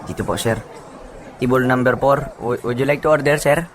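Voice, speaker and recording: An actor playing a polite restaurant waiter in a radio drama. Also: male voice, medium pitch, fairly even intonation, moderate pace, noisy background, lightly acted. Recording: loud crowd behind.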